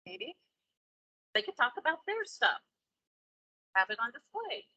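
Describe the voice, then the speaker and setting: A woman with a bright yet raspy voice of medium pitch chats in an informal business meeting. The audio is muffled and dry. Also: sing-song, a little slow, no room sound. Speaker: business association member. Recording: muffled, low-fidelity meeting recording.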